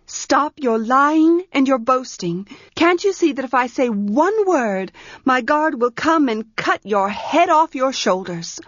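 A woman's voice: high voice, animated, takes it slowly, no room sound, muffled recording, voice pushed up, heavily acted. A woman voicing a proud young priestess in a storybook, scornful and insistent, with an imperious, defensive manner. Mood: imperious, threatening. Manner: haughty, scoffing, insistent.

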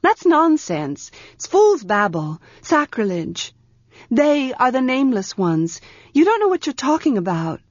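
A woman's voice: a little high, measured pace, dry recording, dull audio, voice pushed up. A woman voicing a proud young priestess in a storybook, scornful and insistent, with an imperious, defensive manner. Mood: scornful, angry. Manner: haughty, scoffing, insistent.

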